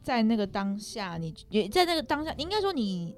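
Fast-talking, rambling woman guest. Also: a little high, big pitch swings. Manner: rambling, rephrases as she goes. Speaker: podcast guest. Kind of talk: casual chat, personal reflection. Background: background music.

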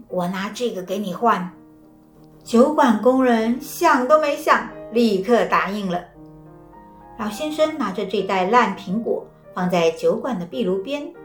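A woman narrating a children's story in a lively, expressive way. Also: a little high. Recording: roomy, with faint music.

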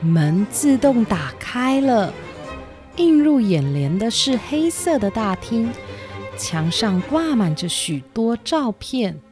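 Woman, fairy tale narrator, animated intonation, leisurely pacing, scripted delivery, soft music behind. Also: on the high side, slow. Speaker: storyteller, podcast host. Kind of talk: scripted children's story narration. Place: dry home recording over music.

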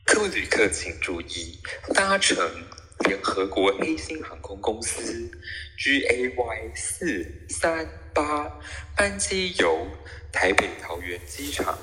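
Man as a lowish, bright airport announcer. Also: in a large hall, exaggerated voice. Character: formal male airport boarding announcer. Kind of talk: airport announcement in audio comedy.

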